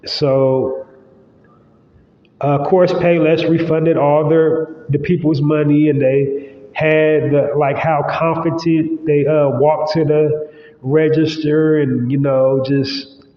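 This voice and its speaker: A man's voice: on the high side, fairly even intonation, takes it slowly. A man hosting his own podcast, talking casually and loosely with lots of fillers, his nose stuffy and congested. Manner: loose, rambling, with many fillers. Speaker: podcast host. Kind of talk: casual solo podcast monologue. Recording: roomy, long-echo, slightly dull sound.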